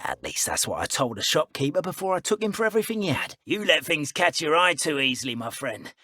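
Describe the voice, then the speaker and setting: A man voicing a pompous, sneering dark wizard villain in a video game, with theatrical, formal, old-fashioned phrasing. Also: on the high side, sing-song, fairly quick, no room sound, clean studio sound, full character voice. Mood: bantering, smug.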